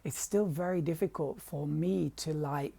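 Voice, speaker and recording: A man's voice: fairly high pitch, a little slow, gravelly, dark tone, slightly rough. A middle-aged English man with a dry voice, rambling hesitantly and self-deprecatingly in a confessional vlog about his painting. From England. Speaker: painter vlogger.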